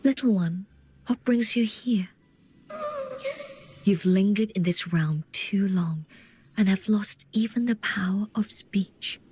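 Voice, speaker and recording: A woman playing a gentle, sorrowful healer in a fantasy game, speaking softly and formally, often close to a whisper. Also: mid-range voice, a little hiss or hum, lightly acted. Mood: pitying, gentle. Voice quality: soft, breathy, often near whispering. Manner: solemn, measured, formal speech. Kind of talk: scripted fantasy game dialogue.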